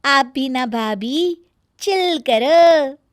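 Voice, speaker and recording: A pert young sister-in-law in a storybook tale, put on by a woman narrator. She is easygoing and teasing, with a high, lively voice. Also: very slow, no echo, clean studio sound, heavily acted.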